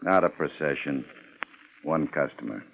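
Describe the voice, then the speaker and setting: A cold gunman in a vintage radio crime drama. His voice sits low, and he hands out curt, controlled threats with a deadpan menace. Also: a little slow, gravelly, slight background noise, exaggerated voice, low-quality recording. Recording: vintage 1950s radio recording.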